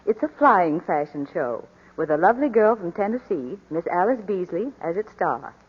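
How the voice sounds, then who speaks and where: A woman's voice: on the low side, sing-song, moderate pace, breathy edge. A woman speaking as a fashion reporter in scripted 1940s radio advertising chat. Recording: muffled 1940s radio broadcast.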